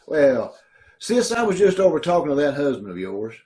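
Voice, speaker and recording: A man's voice: on the high side, big pitch swings, moderate pace, a little mellow. A man playing a broke, wheedling brother. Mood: pleading. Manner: wheedling, anxious. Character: pleading broke younger brother. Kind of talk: 1930s radio comedy acting. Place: home over a video call.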